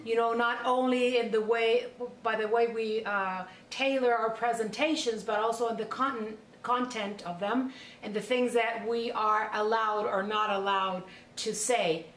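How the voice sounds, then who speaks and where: A woman's voice: a little high, fairly even intonation, a little slow, fairly bright tone. Distant, echoey Mexican woman in conversation. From Mexico City, Mexico. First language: Spanish. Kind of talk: reflective conversation. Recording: distant, echoey recording with faint noise.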